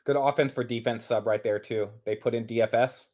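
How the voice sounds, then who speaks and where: A man's voice: mid-range voice, steady tone, a little fast, a little mellow. A man co-hosting a casual basketball watch-along, commenting on the game. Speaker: sports podcast co-host.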